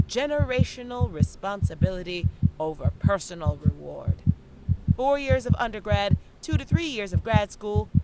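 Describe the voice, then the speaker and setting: An actress plays a young woman student, stressed and self-questioning, in an audio drama. Her mid-range voice is theatrical and rhythmic, each phrase deliberately placed. A faint hum and noise sit behind the recording. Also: medium pitch. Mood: driven, insistent. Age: young adult. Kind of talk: dramatic monologue in an audio play.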